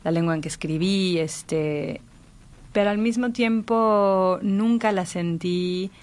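A woman's voice: mid-range voice, slow pace, darkish tone. A middle-aged Mexican woman speaking as a guest in a reflective literary conversation, articulate and thoughtful. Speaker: interviewee, writer.

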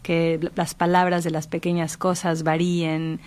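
Lower-pitched Mexican woman, thoughtful talker. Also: little pitch movement, moderate pace, darkish tone. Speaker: interviewee, writer. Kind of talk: reflective literary conversation.

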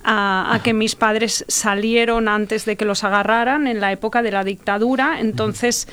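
A woman's voice: a little high, fairly even intonation, moderate pace, a bit bright. A middle-aged woman playwright from Argentina, raised abroad, telling her personal story reflectively in a conversational interview. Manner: personal, reflective, laughs often. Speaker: playwright guest.

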